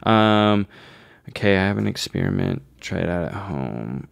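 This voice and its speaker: A man's voice: low-pitched, sing-song, a little slow, gravelly, clean tone, no room sound. A young man co-hosting a podcast in casual comedic chat, joking with plenty of slang and swearing. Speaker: podcast co-host.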